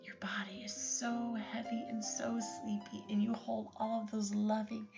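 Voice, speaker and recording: A woman guiding a bedtime sleep story for children, talking softly and soothingly, often in a whisper. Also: a little high, little pitch movement, moderate pace, gravelly. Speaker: bedtime meditation guide. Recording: slightly dull recording with lullaby music.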